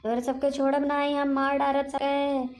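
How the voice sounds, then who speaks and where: A high-voiced, menacing female ghost from a village cartoon, acted out by a woman. She has an Awadhi accent and stretches her threats out slowly, repeating them to bully. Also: level tone, darkish tone, close to an everyday voice.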